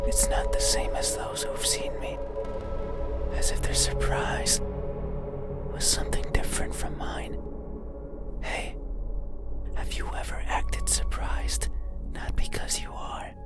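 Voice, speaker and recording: An eerie monster from a horror game whispers in a breathy, deliberate way. It speaks very slowly, lonely and insistent, as if questioning the listener at the bedside. Music plays prominently behind it. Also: male voice, very high voice, heavily acted. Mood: puzzled, melancholy. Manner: slow, halting, deliberate, questioning. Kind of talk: ASMR-like horror game monologue.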